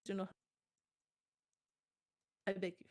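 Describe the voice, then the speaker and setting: A woman's voice: slow, darkish tone. A woman with a German accent, German native, co-streaming a card game in a chatty, agreeable way, often affirming her partner. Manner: chatty, agreeable, frequent "yeah, exactly" affirmations. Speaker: guest co-streamer. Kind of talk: casual gameplay chat.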